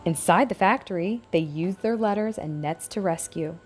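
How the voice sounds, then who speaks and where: A woman's voice: lowish voice, normal speed, faint music behind. A woman narrating a scripted picture book aloud for young children, as a storybook reader.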